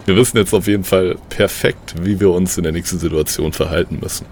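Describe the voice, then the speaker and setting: A man's voice: low-pitched, fairly even intonation, fast, a little mellow, slight background noise. A man co-hosting a playful improvised audio story, chatting casually with his partner. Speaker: podcast co-host.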